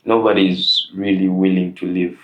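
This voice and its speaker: Man, young adult, very deep voice, casual interview host, hesitant, filler-heavy. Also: flat delivery, normal speed, fairly bright tone, highs cut off.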